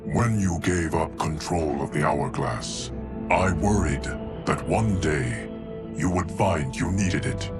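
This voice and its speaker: Very deep, stoic male steward of time. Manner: formal, deliberate, stoic. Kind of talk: dramatic video game acting.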